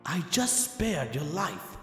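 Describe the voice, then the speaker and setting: A man's voice: a little high, very animated, slow pace, a bit bright, pitched above the performer's own voice, full character voice. Echoing, weary young vampire warrior, played by a man. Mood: stunned. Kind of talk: dramatic storybook character reading. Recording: echoey reverb over background music.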